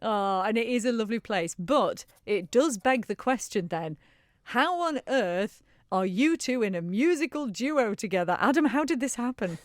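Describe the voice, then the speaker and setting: A woman's voice: a little high, lively intonation, moderate pace, no room sound. A woman presenting a live radio show in a studio, interviewing guests with bubbly enthusiasm and a Manx accent.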